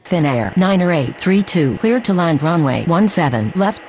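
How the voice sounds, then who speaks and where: A woman's voice: lowish voice, expressive, moderate pace, darkish tone. A woman speaking clipped, scripted aviation radio phraseology in an air traffic control game.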